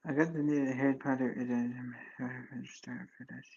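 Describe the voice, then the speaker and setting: A man's voice: medium pitch, flat delivery, fairly quick, gravelly, warm tone. A young man in his twenties hosting a live chat, talking in a loose, rambling way full of fillers and restarts. His voice stays level, with little rise and fall. The audio sounds dull and lo-fi. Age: 20s. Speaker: livestream host chatting with viewers.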